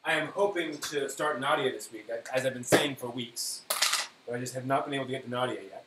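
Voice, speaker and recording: A man's voice: medium pitch, a little fast. A man hosting a casual live stream, chatting in an offhand way as he thinks aloud. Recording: roomy echo, faint hiss.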